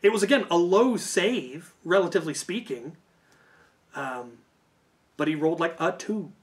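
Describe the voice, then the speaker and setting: A man's voice: high-pitched, expressive, moderate pace. A man telling stories casually as a video host, in an informal, hedging way that often corrects itself. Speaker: YouTube host. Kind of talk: casual rambling storytelling.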